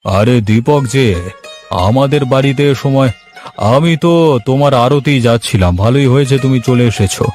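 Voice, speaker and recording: A man voicing a kindly, authoritative older village headman in a Bengali cartoon story, with a measured, paternal manner. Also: medium pitch, a little mellow, faint music behind, voice pushed down, natural voice. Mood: welcoming.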